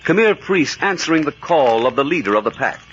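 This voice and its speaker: Dramatic old-time radio announcer, lively theatrical narration, muffled recording. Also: male voice, a little high, brisk. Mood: suspenseful. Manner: suspenseful, theatrical. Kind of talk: 1940s radio scripted narration. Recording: dull, noisy vintage radio recording.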